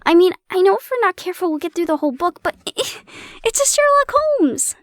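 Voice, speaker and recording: A woman's voice: very high-pitched, big pitch swings, brisk, no echo, studio quality. Playful giggly young woman. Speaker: roleplay partner reading to the listener. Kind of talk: intimate audio roleplay chat.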